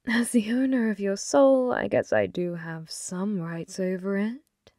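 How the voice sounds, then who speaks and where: A woman voicing a flirty, possessive female demon bartender in an intimate ASMR roleplay, teasing and unhurried, sometimes slipping into whispers. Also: medium pitch, animated, warm tone, dry recording, clean studio sound, close to an everyday voice. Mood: teasing, confident.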